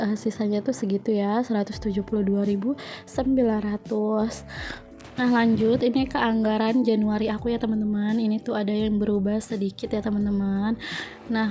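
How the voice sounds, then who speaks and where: A woman's voice: a little high, mellow. A young woman vlogger with a stuffy nose from a cold, chatting casually to her viewers over a home budgeting voice-over, with many fillers. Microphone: phone.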